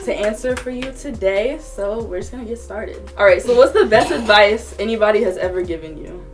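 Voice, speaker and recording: A young woman in her twenties from Houston, hosting a casual group talk in a loud, upbeat and direct way. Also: mid-range voice, a little fast. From Houston, Texas. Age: 20s. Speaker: host. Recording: distant mic, music underneath.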